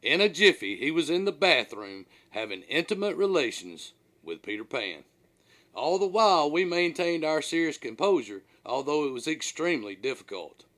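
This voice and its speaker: Man narrating with very animated, swooping pitch. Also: moderate pace. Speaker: author reading own audiobook. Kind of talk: scripted first-person storytelling narration.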